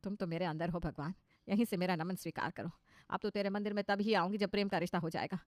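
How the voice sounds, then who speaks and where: A woman's voice: lowish voice, steady tone, quick pace, dry recording, natural voice. A woman voicing a fretful middle-aged mother who turns showily modern in a cartoon story, chatty and emphatic. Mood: chatty, resolved.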